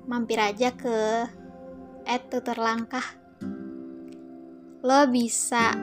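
A woman talks to her podcast listeners in an informal, playful, slangy way. She takes her time, with long unhurried phrasing in a fairly high voice. Soft plucked guitar music plays behind her. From Indonesia. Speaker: podcast host. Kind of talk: casual chatty solo podcast monologue.

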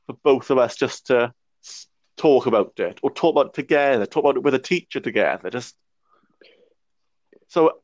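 A man's voice: medium pitch, lively intonation, moderate pace, pauses often, a little mellow, no room sound. A young man in his twenties, a podcast guest, talking reflectively with a stammer and frequent restarts. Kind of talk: casual conversation. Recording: dry muffled lo-fi audio.

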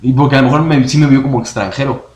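A man's voice: mid-range voice, fast, crowd noise. Young Mexican man telling a story, echoey. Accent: Mexican Spanish. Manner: relaxed storyteller, imitates others in quotes. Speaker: podcast co-host. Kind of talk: casual storytelling chat. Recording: echoey room, faint noise.

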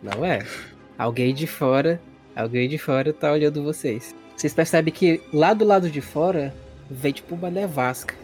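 A man's voice: on the high side, normal speed, darkish tone, soft background music. A man with a Brazilian accent narrating a tabletop role-playing game as game master, colloquial and explanatory.

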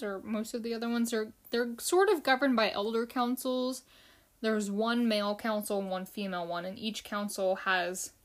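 A young woman from the Philippines hosting a casual solo podcast, chatting loosely with fillers and restarts. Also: on the high side. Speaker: podcast host.

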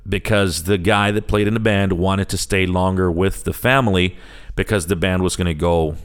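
A man's voice: low-pitched, fairly even intonation, normal speed, clean tone. A middle-aged man from Chicago with an American accent, talking casually as a podcast host and slipping between English and Spanish. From Chicago, United States. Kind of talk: casual solo podcast introduction.

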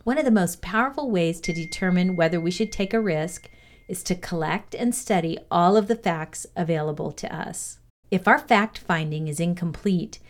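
A woman reading scripted educational narration in a measured, deliberate way. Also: fairly low pitch, a little mellow. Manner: measured, deliberate read-aloud delivery. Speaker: reader of a values lesson.

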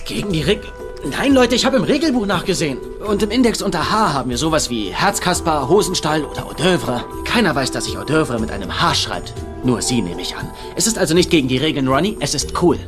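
An actor in a German-dubbed TV comedy playing a cocky, theatrical psychic who teases and chatters. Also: male voice, on the high side, lively intonation, fairly quick, lightly acted. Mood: insistent, showy. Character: flamboyant, cocky man playing a psychic at poker. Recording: music behind the voice.

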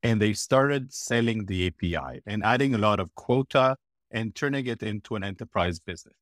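A middle-aged man from Israel, a podcast guest telling a long story in a blunt, animated way. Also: on the low side, normal speed, no echo, clean studio sound. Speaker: podcast guest, former platform executive. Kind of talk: interview answer.

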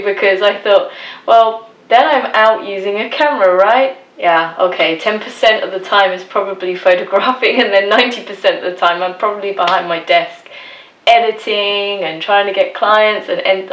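A woman's voice: medium pitch, fairly bright tone. Chatty English woman, roomy distant sound. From England. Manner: chatty, laughs often between phrases. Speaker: guest, freelance photographer. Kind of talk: casual personal storytelling.

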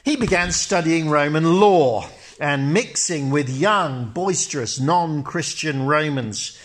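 Pastor telling a story from the pulpit. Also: male voice, fairly high pitch, lively intonation, fairly bright tone. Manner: measured, storytelling. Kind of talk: sermon with narrated story. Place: church.

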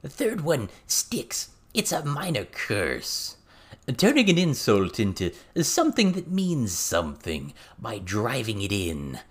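Man voicing an animated, crude little goblin teacher. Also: medium pitch, slow, slightly rough, full character voice. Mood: halting, explaining. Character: crude, excitable little male goblin teacher, foul-mouthed.

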